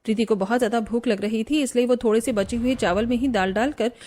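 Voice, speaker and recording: A woman's voice: fairly high pitch, steady tone, fast talker, dark tone. A woman reading scripted narration for a cartoon family story.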